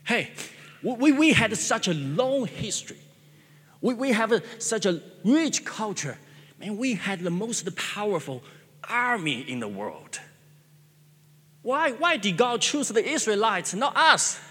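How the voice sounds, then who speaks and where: A man's voice: high-pitched, sing-song, unhurried, bright, forceful tone, breathy edge, voice pushed up, exaggerated voice. A pastor whose first language is Chinese, putting on an indignant, boastful Chinese man who stammers with exasperation, heard in a long-reverb hall. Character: indignant proud Chinese man asking why Jesus was not Chinese.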